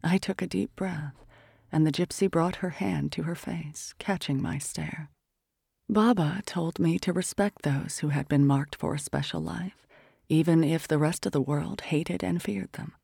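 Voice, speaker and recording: Husky, gravelly audiobook narrator, often whispered. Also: mid-range voice, no echo, studio quality. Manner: hushed, often whispered, tense and measured. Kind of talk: first-person literary audiobook narration.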